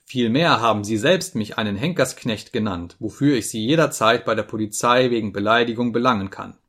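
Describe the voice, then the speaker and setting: A stubborn, haughty manservant, played by a male narrator in a literary audiobook. He speaks slowly and with pompous calm, with a slight lisp, never ruffled. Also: medium pitch. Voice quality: lisping. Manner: slow, quiet, measured, pompous. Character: imperturbable, self-important manservant, haughty and stubborn.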